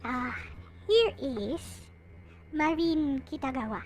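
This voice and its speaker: A woman with a high voice talks slowly through a casual, unscripted show-and-tell. She is halting and thinks aloud as she goes. There is faint noise behind her. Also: lively intonation. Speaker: vlogger showing collectible figures.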